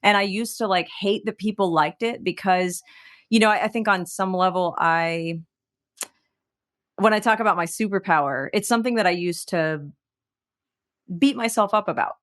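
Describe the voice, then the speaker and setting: A woman from the United States, a singer-songwriter guest in a conversational interview, talking in an animated way as she searches for her words. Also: medium pitch, moderate pace. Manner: animated, searching for words, many fillers and restarts.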